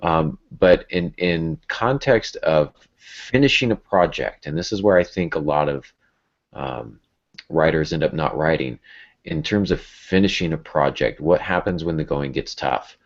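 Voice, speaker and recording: A man with an exceptionally deep voice hosts a casual podcast discussion. He talks things through reflectively and conversationally. The recording is a little muffled and lo-fi. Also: animated, unhurried, gravelly. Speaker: podcast host. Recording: dull, lo-fi podcast audio.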